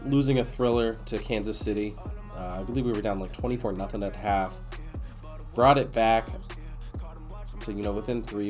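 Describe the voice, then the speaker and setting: Congested nasally guy doing laid-out casual game commentary, kinda raspy, music behind him. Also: lowish voice, a little mellow. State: congested after being sick. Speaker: video game streamer and host.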